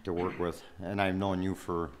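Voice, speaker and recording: A man's voice: deep voice, level tone, normal speed, slight background noise. A man on a city council who rambles and searches for words as he speaks. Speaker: council member.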